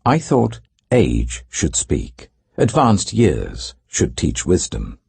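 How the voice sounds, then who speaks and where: Indignant young biblical speaker, acted by a male narrator, emphatic and oratorical, gravelly, dramatically varied in pitch. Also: wildly swooping pitch, slow, a little mellow, no echo, heavily acted. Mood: indignant, earnest. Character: earnest, indignant young man rebuking his elders.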